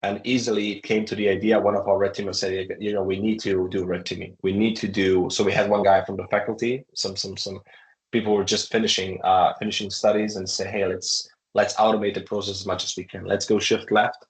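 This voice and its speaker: A man, a startup founder, answering interview questions in a halting way, with many fillers and restarts. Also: fairly low pitch, level tone. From Croatia. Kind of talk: conversational interview. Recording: echoey room.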